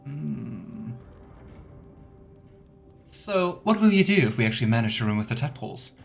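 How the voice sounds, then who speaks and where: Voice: medium pitch, big pitch swings, moderate pace, vocal fry, faint music behind. A let's player giving casual, musing commentary on a game and reading dialogue options aloud. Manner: musing, chatty asides.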